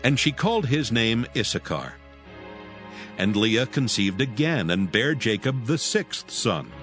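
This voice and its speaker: A man narrating scripture in a measured, solemn way. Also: mid-range voice, sing-song, fairly bright tone. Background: background music.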